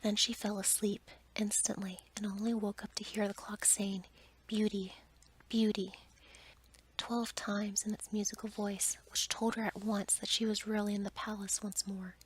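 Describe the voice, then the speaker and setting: A woman reading a bedtime story in a soft, breathy ASMR whisper, gentle and hushed. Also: medium pitch, little pitch movement, moderate pace, hardly any pauses, rough recording.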